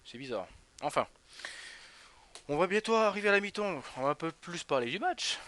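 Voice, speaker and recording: A man's voice: high voice, very animated, normal speed, fairly bright tone. A young man from northeastern France streaming live, chatting with viewers by name while casually commenting on a football match. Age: young adult. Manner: rambling, chatty, talks straight to viewers by name. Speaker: Twitch streamer.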